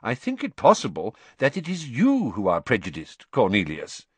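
A man reading an audiobook and voicing a calm, quiet old headmaster with a dry manner. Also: mid-range voice, normal speed, husky, no room sound.

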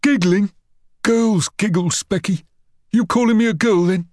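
A man's voice: sits high, normal speed, darkish tone, no echo, clean studio sound, voice pushed up. A man voicing a menacing teenage school bully in a storybook, with taunting, jeering delivery. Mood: threatening. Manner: taunting, growling jeers.

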